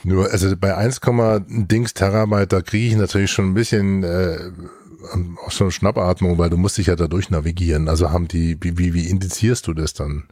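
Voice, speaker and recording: A man's voice: sits low, fairly even intonation, moderate pace, darkish tone, no echo, studio quality. A man hosting a podcast in a casual, chatty style with a jokey, teasing manner. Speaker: podcast host. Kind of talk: casual chat.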